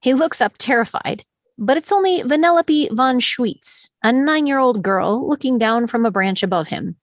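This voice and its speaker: A woman's voice: fairly high pitch, expressive, moderate pace, no room sound. Dry, close-sounding woman narrator. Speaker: narrator reading stage directions. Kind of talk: scripted narration at animated film table read.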